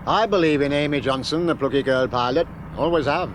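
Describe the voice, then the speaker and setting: An actor playing a caring older father with a Northern English accent, slow and plainspoken, in a radio play. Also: on the high side, lightly acted. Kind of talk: 1970s radio drama. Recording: noisy background ambience.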